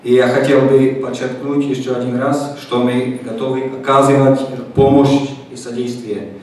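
A man giving a formal welcome speech at a podium, steady and full of hesitant fillers. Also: mid-range voice, moderate pace. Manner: steady, flat delivery with many fillers. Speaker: embassy representative. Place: large conference hall. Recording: echoey hall from far off.

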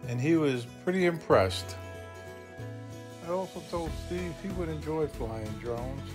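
Casting: middle-aged male vlog host, upbeat, high-set voice, music underneath. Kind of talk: upbeat vlog narration and chat.

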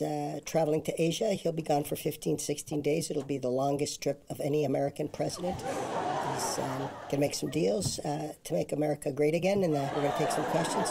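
Creaky, evasive press secretary played by a man. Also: fairly high pitch. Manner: halting, flatly dismissive. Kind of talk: comedy sketch press briefing. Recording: very noisy, clicky clip.

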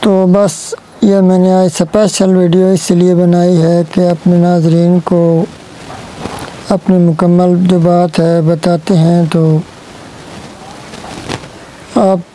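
Young man, Pakistani Urdu accent, dark flat earnest vlogger voice. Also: high-pitched, slight background noise, low-quality recording. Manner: slow, earnest, pleading. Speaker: village vlogger. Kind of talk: direct-to-camera appeal. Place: outdoors in a sunny village courtyard. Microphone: lapel mic.

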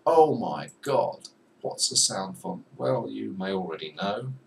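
A young man giving a chatty, self-deprecating software tutorial at his desk, talking to the viewer as he clicks through menus. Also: on the low side, expressive, measured pace, gravelly. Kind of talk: screen-recorded software tutorial. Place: small room at a desk. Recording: slightly distant mic with faint room noise.

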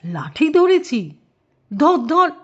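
A woman voicing a slow-witted, gullible male crocodile with simple, excitable exclamations in a children's story. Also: sits high, lo-fi sound, pitched above the performer's own voice, exaggerated voice. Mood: gullible excitement.

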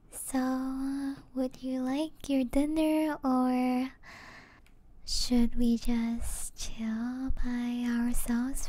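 A woman performs an affectionate young gamer girlfriend for an ASMR roleplay. Her voice is high and mellow, breathy and often close to a whisper. She speaks very slowly and hesitantly, with a playful, teasing softness. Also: heavily acted. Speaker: roleplay voice actor. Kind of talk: soft-spoken ASMR roleplay.

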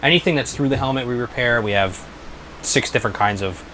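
A man in his thirties giving a casual workshop explanation as a business owner, haltingly and repeating his phrases. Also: mid-range voice, bright, forceful tone, slight background noise, low-quality recording. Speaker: helmet restoration business owner. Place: small workshop, indoors.

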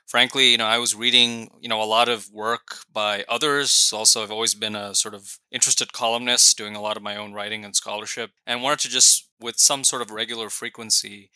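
A man's voice: lowish voice, steady tone, fairly quick, few pauses, bright, forceful tone, smooth, no room sound. A man from Malaysia, a newsletter founder and analyst, answering interview questions in a talkative, articulate way with frequent fillers. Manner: articulate, many fillers like you know and sort of.

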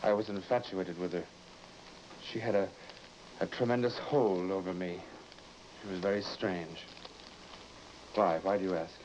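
An actor playing a haunted, fearful husband in an old-time radio horror drama, speaking in a halting, melodramatic way and repeating words for emphasis. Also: male voice, low voice, steady tone, a little mellow. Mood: haunted, resigned. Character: tormented husband haunted by dead woman's letters, brooding and fearful. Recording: dull, noisy old radio broadcast recording.

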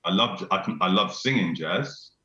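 Deep-voiced man on a roomy video call. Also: expressive, moderate pace, bright, forceful tone, lo-fi sound. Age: middle-aged. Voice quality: deep bass voice. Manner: repeats himself, laughs often, enthusiastic. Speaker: venue owner, guest. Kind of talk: casual chat. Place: small room indoors. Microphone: video call webcam.

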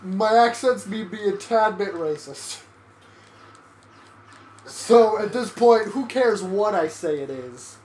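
A man's voice: high-pitched, expressive, slow, pauses often, a little mellow. A young man co-hosting a game let's play, ranting and poking fun at himself. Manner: self-mocking, ranting. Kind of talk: casual gaming chat. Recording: echoey room, faint hiss.

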